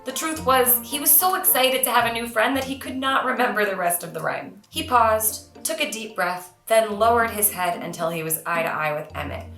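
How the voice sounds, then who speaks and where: A woman with a bright, clear-cut storytelling delivery, reading for children as the author. She is expressive and earnest. Her voice has a roomy echo, with music faintly behind it. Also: on the high side, moderate pace, hardly any pauses. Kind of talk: expressive children's read-aloud narration.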